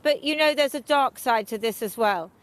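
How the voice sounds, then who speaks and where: A woman's voice: high-pitched, moderate pace. Earnest woman reporting news. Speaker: foreign correspondent.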